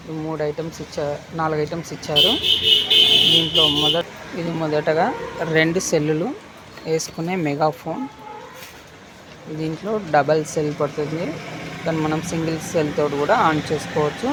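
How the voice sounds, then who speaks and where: A man's voice: on the high side, steady tone, normal speed, pauses often, heavy background noise. A man gives an informal, step-by-step product demonstration, often repeating phrases as he instructs. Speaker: product unboxing presenter.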